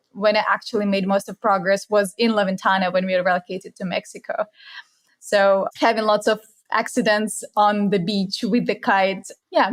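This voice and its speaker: Earnest Russian-accented woman guest. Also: mid-range voice. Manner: earnest, reflective, slightly non-native phrasing. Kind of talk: casual interview chat.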